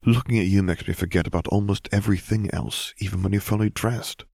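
A man as a gentle, placating young man. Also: fairly low pitch, fairly even intonation, brisk, creaky, no echo, studio quality, voice pushed up. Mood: reassuring. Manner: soft, reassuring.

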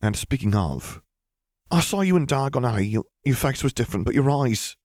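Man as a calmly menacing young wizard. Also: mid-range voice, moderate pace, no echo, studio quality, voice pushed up, natural voice. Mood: cold, probing.

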